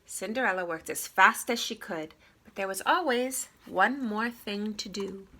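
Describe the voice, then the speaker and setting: A woman narrating a children's story aloud in an expressive, theatrical way. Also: mid-range voice, a little slow. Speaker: storybook reader.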